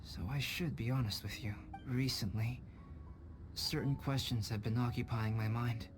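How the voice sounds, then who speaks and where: Young scholar-alchemist for a game, male actor: composed, polite, formal phrasing, medium pitch, music behind. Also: steady tone, moderate pace, natural voice. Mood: thoughtful, sincere. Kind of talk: video game cutscene voice acting.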